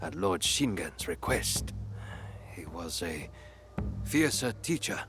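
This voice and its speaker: Deep, deliberate, wry ronin gunman. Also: big pitch swings, music in the background, full character voice. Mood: wry, reminiscing. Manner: deliberate, knowing, formal. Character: wry, battle-worn ronin gunman, former general. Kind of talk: dramatic video game acting.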